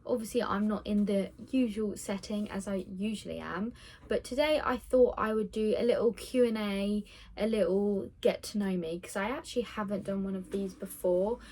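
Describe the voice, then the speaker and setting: 19 yr old woman rambling thru a q&a vlog on her phone, lots of ums, laughs at herself, mid pitch. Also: fairly even intonation, normal speed, warm tone. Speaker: vlogger. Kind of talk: casual Q&A vlog. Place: indoor room, window open to traffic.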